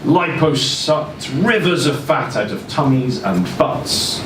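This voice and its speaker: A man performs a short story at a live reading in an expressive storytelling voice, pitched around the middle for a male. He occasionally trips on a word. The mic is some way off in a roomy indoor space, with steady background noise clearly audible. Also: takes it slowly, distant mic. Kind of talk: live dramatic reading of first-person fiction. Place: indoor social venue, live event.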